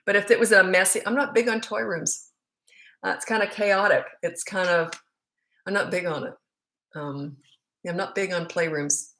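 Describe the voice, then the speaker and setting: A woman's voice: lowish voice, steady tone, moderate pace, a bit bright. A middle-aged woman with a Canadian accent, a parenting coach answering viewer questions live in a frank, chatty, rambling way.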